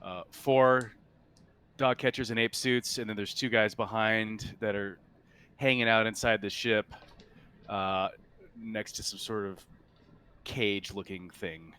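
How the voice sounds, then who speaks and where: A man narrating a tabletop roleplaying game as game master, casually and in halting, improvised phrases. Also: on the low side, steady tone, bright, forceful tone, smooth.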